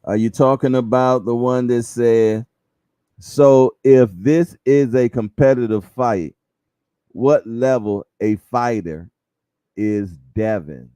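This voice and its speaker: A man's voice: mid-range voice, slow pace, dark tone, smooth, dry recording, lo-fi sound. Laid-back chuckling man in his fifties. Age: 50s. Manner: laid-back, chuckling, repeats phrases, slangy banter. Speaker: boxing livestream host. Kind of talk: casual livestream chat reading viewer comments.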